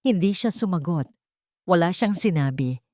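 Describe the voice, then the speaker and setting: Female narrator for romantic audio drama: slightly low pitch, dry close sound, measured dramatic delivery. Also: lively intonation, a little mellow, no echo. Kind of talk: scripted dramatic story narration.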